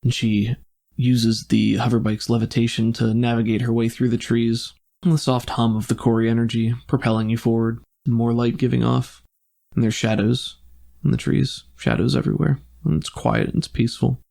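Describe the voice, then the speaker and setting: A man's voice: mid-range voice, level tone, normal speed, creaky, mellow. A man narrating an improvised tabletop roleplaying game as game master, describing scenes in cinematic detail.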